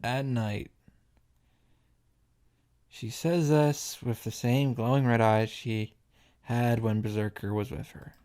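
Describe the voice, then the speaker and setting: A man's voice: mid-range voice, steady tone, slow pace, pauses often, a little mellow. A man reading a visual novel aloud and reacting casually, often restarting and repeating himself as he goes.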